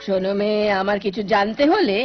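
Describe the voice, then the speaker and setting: A woman's voice: mid-range voice, a little fast, close to an everyday voice. Woman as stern bossy teacher, cartoon, imperious manner, dull audio over music. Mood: scolding. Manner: scolding, imperious. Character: stern, haughty new schoolmistress, bossy and impatient. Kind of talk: cartoon voice acting.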